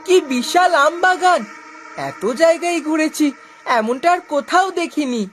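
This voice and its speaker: A woman's voice: extremely high pitch, moderate pace, fairly bright tone, slight background noise. A woman voicing an innocent, curious little boy in a children's cartoon, eager and wide-eyed. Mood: delighted. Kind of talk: children's animated cartoon dialogue.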